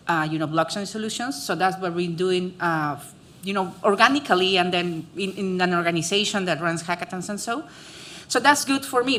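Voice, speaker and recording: A woman's voice: fairly low pitch, a bit bright, slight background noise. A woman, speaking on a panel with many fillers and restarts. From Peru. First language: Spanish. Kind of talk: conversational panel talk.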